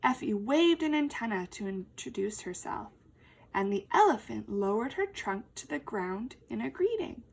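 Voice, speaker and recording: High, very animated woman teacher reading aloud. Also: moderate pace, gravelly, slightly rough. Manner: animated, emphatic, addressing young listeners directly. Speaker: teacher reading to young children. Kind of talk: expressive storybook read-aloud with questions to children.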